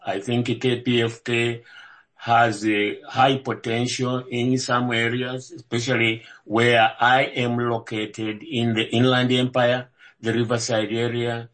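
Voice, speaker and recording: An older man from Zambia and South Africa introducing himself as a candidate at a public meeting, speaking in a measured way with frequent hesitations. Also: mid-range voice, little pitch movement, a bit bright. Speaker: board candidate.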